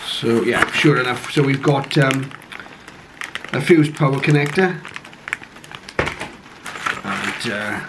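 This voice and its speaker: A man giving a casual, low-key first-look commentary on a piece of equipment, speaking hesitantly and without hurry. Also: slow, long pauses. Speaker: radio hobbyist reviewer. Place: conservatory, later a home radio room. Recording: clearly noisy home recording.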